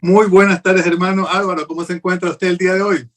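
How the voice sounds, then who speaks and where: A man hosting an interview show, greeting his guest politely and warmly, with frequent fillers as he talks. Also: high voice, little pitch movement, fast. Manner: courteous, upbeat, many fillers. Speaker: host.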